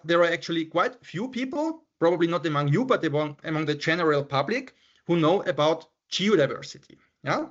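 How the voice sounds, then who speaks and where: Brief: middle-aged Austrian German-accented male professor, fairly high voice, halting online lecture, muffled call audio. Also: lively intonation. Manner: hesitant, repeats words, many "yeah" and "so" fillers. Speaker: professor giving a seminar talk. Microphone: computer mic on a video call.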